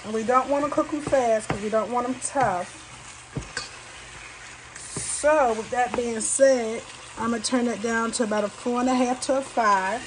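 A woman's voice: on the high side, moderate pace. A woman talking viewers through a recipe in her home kitchen, chatty and calling them y'all, recorded by a camera near the stove. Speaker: home cook vlogger. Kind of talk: casual cooking demonstration. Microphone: camera on a counter nearby. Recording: audible kitchen noise, food sizzling.

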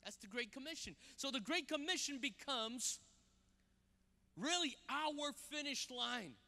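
A man, a guest pastor preaching on a church stage into a handheld mic, animated and often repeating words as he starts a sentence. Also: very high-pitched, a little slow, creaky. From Brazil. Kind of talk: sermon with personal stories. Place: large church stage with audience. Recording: long hall reverb.

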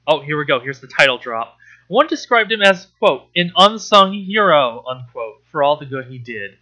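Guy w slightly high bright voice doing snarky read-aloud commentary, incredulous and sweary. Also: muffled recording. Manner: incredulous, exasperated, profane asides. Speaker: host reading a novel aloud and mocking it.

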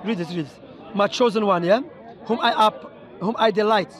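High-pitched man preaching in a park crowd. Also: moderate pace. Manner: insistent, repeats words, reads scripture aloud. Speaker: street preacher debating a passer-by. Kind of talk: street debate. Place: outdoors in a busy city park. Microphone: handheld camera close by. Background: crowd chatter.

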